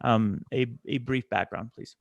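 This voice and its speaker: A man chairing a committee meeting who speaks deliberately, with many fillers and restarts. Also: medium pitch, vocal fry, dry recording, muffled recording.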